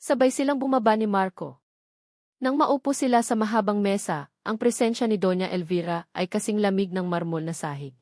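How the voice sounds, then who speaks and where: A woman's voice: mid-range voice, fairly quick, darkish tone, dry recording, studio quality. A woman reading a scripted dramatic love story as its narrator.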